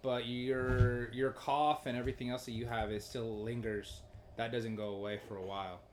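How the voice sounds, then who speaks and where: A man's voice: mid-range voice, level tone, normal speed, a little hiss or hum. Easygoing man with a lingering cough. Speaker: podcast co-host. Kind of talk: casual podcast chat.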